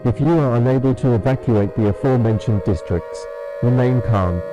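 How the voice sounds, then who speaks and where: A man acts out a solemn announcer for a fictional invasion alert broadcast. He reads scripted emergency instructions in a measured, formal way. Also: mid-range voice, over music, natural voice, low-quality recording. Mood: grave, calm.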